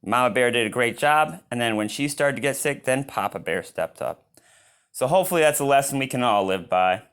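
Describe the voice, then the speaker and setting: A man reading a picture book aloud as host, expressive and given to chatty reactions to the story. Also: medium pitch, fairly quick, clear, resonant tone. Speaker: read-aloud reader and channel host.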